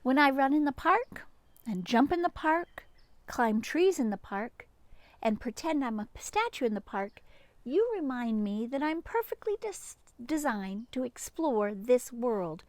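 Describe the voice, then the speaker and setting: A tender, earnest young storybook boy, voiced by a woman reading aloud. The voice is high and lilting, full of eager wonder. It is heard dry and close. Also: sing-song, a little slow, dry recording. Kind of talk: picture-book read-aloud.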